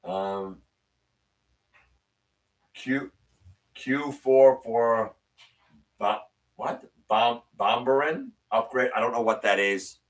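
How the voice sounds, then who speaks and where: A man hosting a live stream, reading viewer comments and answering them in casual, opinionated chat, his voice sometimes a little raspy. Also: very slow, pauses often, far from the mic, poor audio. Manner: opinionated, ranting with rising pitch when worked up.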